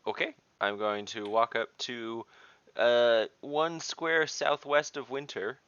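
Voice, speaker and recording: A man playing an online tabletop game, chatting casually and haltingly as he thinks through his moves. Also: mid-range voice, steady tone, a bit bright, clean tone, no room sound, muffled recording.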